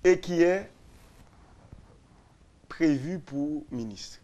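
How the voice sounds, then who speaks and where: A man, a lawyer and radio guest, explaining constitutional articles slowly, repeating and restarting phrases. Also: a little high, dark tone. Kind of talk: explaining constitutional law on a radio talk show.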